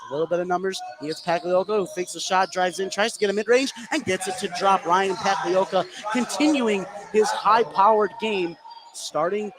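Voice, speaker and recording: A man's voice: high-pitched, normal speed. A man doing live play-by-play commentary of a basketball game for radio, courtside in a gym. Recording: clearly noisy live gym ambience.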